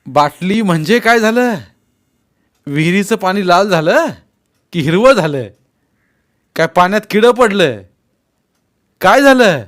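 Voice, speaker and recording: Man acting a loud, stern village elder, high-pitched. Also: lively intonation, unhurried, clean tone. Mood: challenging. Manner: loud, insistent, firing pointed questions. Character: stern grown village man challenging boys' prejudice.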